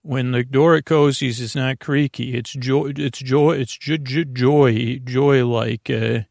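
A man hosting a bedtime podcast, talking in a lulling, creaky way and wandering off on meandering tangents. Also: mid-range voice, steady tone, slow, a little mellow, no echo, studio quality. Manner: meandering, tangent-prone, self-interrupting. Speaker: podcast host. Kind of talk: rambling bedtime podcast talk.

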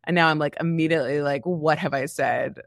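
A woman's voice: low voice, steady tone, brisk, vocal fry, no room sound, studio quality. A woman co-hosting a podcast in casual comedic chat, wry, teasing and self-deprecating. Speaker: podcast co-host.

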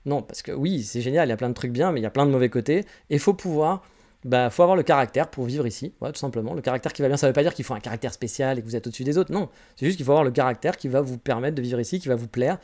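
A man's voice: mid-range voice, racing, darkish tone. A man in his forties from France, hosting a casual solo podcast. He answers listener questions with candid, rambling advice and often restarts his sentences. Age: 40s. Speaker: podcast host.

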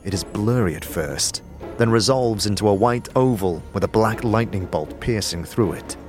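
A man narrating a fantasy audio drama in a measured, scripted storytelling style. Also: lowish voice. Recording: music behind the voice.

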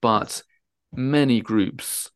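A man speaking as an expert guest in an interview, emphatic, often repeating and rephrasing his words haltingly. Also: mid-range voice, clear, resonant tone, no room sound, studio quality. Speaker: transfer pricing specialist, interview guest.

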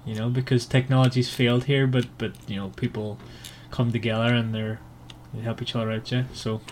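A man casually discussing a book as a podcast co-host, often halting and repeating words. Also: medium pitch, little pitch movement, slight background noise.

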